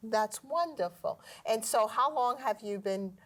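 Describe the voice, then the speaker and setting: A woman's voice: fairly high pitch, animated, unhurried. A woman interviewing a teacher, encouraging and full of praise. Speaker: school administrator interviewing a teacher.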